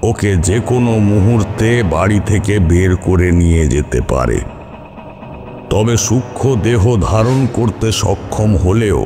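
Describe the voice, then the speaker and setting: An actor playing a solemn older male tantric priest in a horror cartoon, speaking formal, measured counsel. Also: on the low side, warm tone. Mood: grave, reassuring. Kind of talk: dramatic Bengali horror cartoon voice acting. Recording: long reverb, music behind. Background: music.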